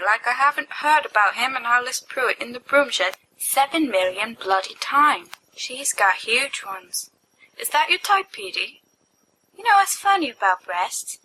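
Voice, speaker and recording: Bright, high-voiced woman acting out fiction. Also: low-quality recording. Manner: expressive, playful, acting out giddy young men. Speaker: amateur podfic reader. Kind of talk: animated dramatized fiction reading with character voices. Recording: home recording with faint background noise.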